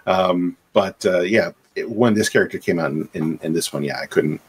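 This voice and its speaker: A man's voice: low voice, normal speed, husky. A middle-aged man, a game composer and sound designer, talking casually on a video call with many fillers and restarts. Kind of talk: casual podcast interview.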